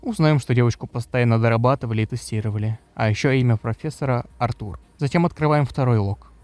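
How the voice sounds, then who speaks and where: Man, video narrator, medium pitch, scripted walkthrough delivery, close dry recording. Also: fast, darkish tone, no room sound.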